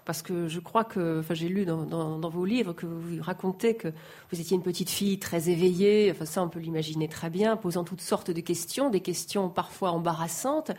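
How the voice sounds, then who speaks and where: A woman's voice: fairly low pitch, normal speed, dark tone. A woman interviewer asking long, formal questions full of small hesitations.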